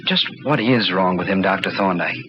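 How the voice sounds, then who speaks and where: An actor playing a skeptical, reluctant young husband in 1940s radio drama style, brisk and dismissive. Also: male voice, deep voice, natural voice. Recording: muffled, noisy old radio recording.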